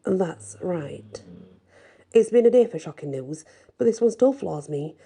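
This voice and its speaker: A woman narrating a first-person fantasy story in a soft, husky, breathy ASMR style, with expressive delivery. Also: mid-range voice, fairly quick. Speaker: storyteller reading a fantasy audiobook.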